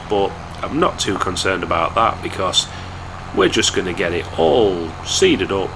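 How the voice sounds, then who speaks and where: A young man from England with a hoarse voice from a cold, chatting casually to viewers while commentating a game. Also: on the low side, noisy background. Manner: chatty, relaxed, talks straight to viewers. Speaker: gaming YouTuber.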